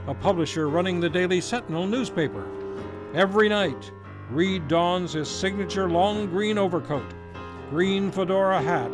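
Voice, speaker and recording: A man hosting a live old-time radio show, introducing the cast in a formal announcer manner. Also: fairly high pitch. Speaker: radio play host. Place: local community theater stage. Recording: live show with guitar music behind.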